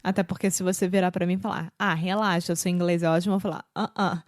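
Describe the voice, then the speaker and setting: Carioca woman with a low, dry voice. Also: quick pace, dry recording. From Brazil. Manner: relaxed and explanatory, talks things through. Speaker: podcast co-host. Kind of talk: casual conversation.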